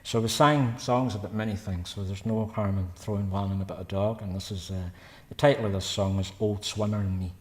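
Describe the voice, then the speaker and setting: A man in his fifties with a Scottish accent from Paisley, chatting casually between songs at a concert and telling stories in a brisk, rambling way. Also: lowish voice, fairly even intonation. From Scotland. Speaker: singer-songwriter performing a concert. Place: church. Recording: long church reverb with guitar.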